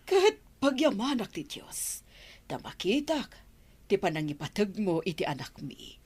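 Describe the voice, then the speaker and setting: Affectionate mother-in-law, slow and animated. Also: medium pitch, husky. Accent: Filipino (Ilocano). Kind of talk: Ilocano radio drama acting.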